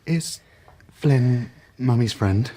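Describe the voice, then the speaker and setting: A man's voice: medium pitch, very slow, mellow, slight background noise. A man playing a playful but jealous, volatile father in a radio drama. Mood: suspicious, cold.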